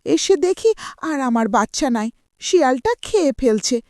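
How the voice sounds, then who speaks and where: A woman's voice: sits high, very animated, moderate pace, warm tone, no room sound, studio quality. A woman voicing a small grieving mother bird in a cartoon moral story, pleading for justice. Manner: plaintive, pleading. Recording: music behind the voice.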